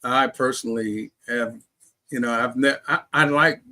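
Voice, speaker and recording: A man's voice: medium pitch, steady tone, unhurried, pushed and bright. A man speaking casually as an interview guest, telling personal stories. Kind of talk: casual conversational storytelling.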